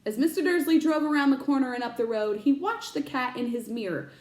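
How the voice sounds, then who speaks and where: A woman teacher reading a children's storybook aloud, expressive and stopping to explain words to young listeners. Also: high-pitched, brisk, echoey room.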